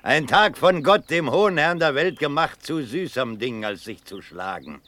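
An actor playing a gruff, hearty old Prussian cavalry colonel in a declamatory, commanding radio drama style. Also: male voice, on the high side, animated, moderate pace, sharp tone, no room sound. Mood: hearty, expansive. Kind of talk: German classical verse radio drama acting.

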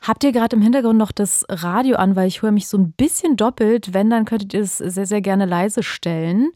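A woman's voice: mid-range voice, fairly quick, warm tone, no echo. A woman hosting a late-night radio call-in show, chatty and friendly, laughing often, on a studio microphone.

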